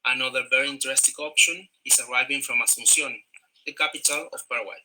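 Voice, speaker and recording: A man from Argentina, a native Spanish speaker with an Argentine accent, giving a tourism presentation in halting English full of fillers and repeated words over a video call. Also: mid-range voice, steady tone, sharp tone, rough recording.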